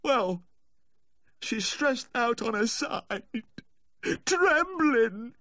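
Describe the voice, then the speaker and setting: A man's voice: high voice, moderate pace, fairly bright tone, no room sound, studio quality, pitched above the performer's own voice. A man voicing a maudlin, panicky, drunken Yorkshire dog owner in a storybook, with a tearful wail.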